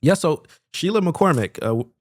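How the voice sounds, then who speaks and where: A man's voice: on the high side, animated, moderate pace, no echo, clean studio sound. A young man from Florida running for Congress, answering interview questions earnestly with frequent ums and uhs. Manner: earnest, fills with "um" and "uh". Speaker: congressional candidate.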